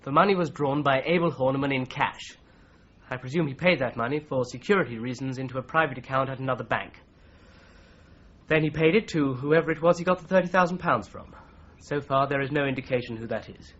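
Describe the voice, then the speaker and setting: An actor playing a shrewd, methodical solicitor in a 1980s radio drama, with an English accent and a precise, measured, probing manner. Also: male voice, mid-range voice, a bit bright, lightly acted. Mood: firm, pressing. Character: shrewd, methodical male solicitor turned amateur investigator. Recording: slightly dull 1980s radio recording.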